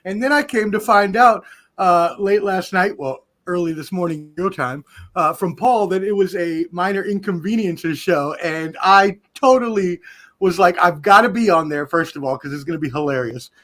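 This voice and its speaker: High-voiced man ranting in exasperation. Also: normal speed, a bit bright. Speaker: podcast guest. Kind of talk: casual chat.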